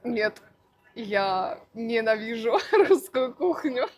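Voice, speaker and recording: Woman, language teacher, slightly high voice, slow careful delivery, interview answers. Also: animated, slight background noise. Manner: slow, deliberate, clearly articulated. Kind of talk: answering interview questions for learners.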